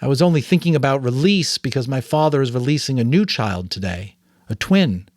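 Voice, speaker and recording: A man's voice: mid-range voice, lively intonation, brisk, a little mellow, dry recording, clean studio sound, pitched above the performer's own voice, natural voice. A man voicing an earnest, anxious and curious adolescent boy in an audiobook, with an eager, questioning manner. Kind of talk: audiobook character reading.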